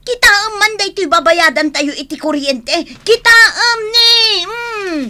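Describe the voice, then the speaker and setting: A nagging, hard-up mother in an Ilocano radio drama. Her voice is extremely high and sharply bright. She scolds her household with theatrical exasperation. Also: lively intonation, unhurried, exaggerated voice. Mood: scolding, shouting. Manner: scolding, dramatic, loudly calling out. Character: exasperated, nagging mother and wife, short of money.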